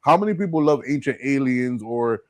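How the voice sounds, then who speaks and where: A man's voice: medium pitch, animated, brisk, dry recording. A man hosting a casual chat, speaking in a slangy, informal and encouraging way. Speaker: podcast host.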